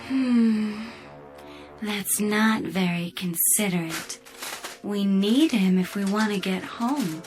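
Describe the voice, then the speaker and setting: An actress playing a polite, solicitous spaceship computer in a sci-fi radio drama, measured and literal-minded with a needling edge. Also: female voice, mid-range voice, over music, lightly acted. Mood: polite concern.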